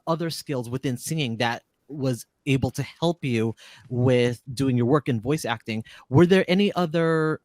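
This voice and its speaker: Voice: medium pitch, no room sound. A host interviewing guests in a panel discussion, asking questions conversationally. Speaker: host of a voice actors roundtable.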